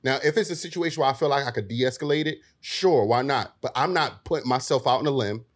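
A man's voice: mid-range voice, fast. A man speaking bluntly and with profanity, often cutting in during a heated panel debate.

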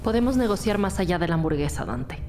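An actress playing a determined police detective in an audio drama, speaking in a businesslike, direct way. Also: female voice, on the low side, expressive, fast talker, a little mellow, a little hiss or hum, lightly acted. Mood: serious, determined.

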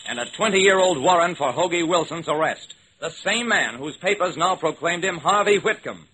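Casting: male actor as level-headed, wry investigator narrating vintage radio mystery, clipped delivery, animated pitch, noisy old recording. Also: lightly acted.

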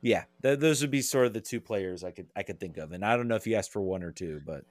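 Low-voiced man talking soccer, thinking aloud. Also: quick pace, no echo. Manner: hesitant, thinks aloud, hedges. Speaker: podcast guest, soccer analyst. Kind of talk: casual sports discussion.

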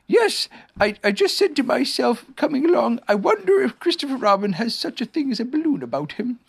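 Reader doing a silly little storybook bear, way up high, earnest n halting, swoopy pitch. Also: sing-song, vocal fry, breathy edge, heavily acted. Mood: hopeful. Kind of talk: children's storybook character voice.

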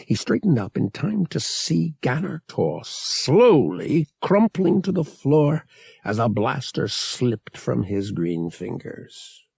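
Male narrator, lively swooping pitch, slightly gravelly, deliberate, dramatic fiction reading, dry close sound. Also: dry recording. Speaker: audiobook narrator.

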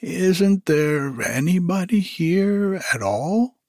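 A man voicing a slow, simple, cheerful male bear who hums in a sing-song way as he reads a storybook aloud. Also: sits high, expressive, darkish tone, no echo, studio quality, voice pushed up, exaggerated voice. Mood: puzzled. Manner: slow, sing-song humming, puzzled musing.

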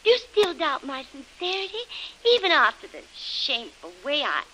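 High-pitched coquettish radio schemer. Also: female voice, very animated, normal speed, a bit bright, rough recording. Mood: indignant. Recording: hissy 1930s radio recording.

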